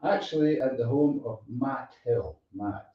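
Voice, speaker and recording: A man's voice: mid-range voice, a little slow. A man hosting a casual live interview chat in an easygoing, rambling way. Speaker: show host. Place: indoors at a musician's home. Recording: roomy, distant mic, dull sound.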